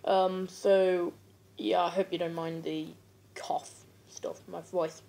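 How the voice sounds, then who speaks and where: A woman's voice: lowish voice, little pitch movement, slow pace, warm tone. A woman giving casual, slangy gaming commentary, brash and swearing now and then, with a cough that leaves her voice a bit off. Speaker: gaming video creator.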